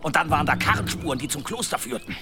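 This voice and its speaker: An actor playing a gruff, impatient village blacksmith in a historical radio play, blunt, earthy and irritable. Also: male voice, fairly high pitch, big pitch swings, quick pace. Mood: grim.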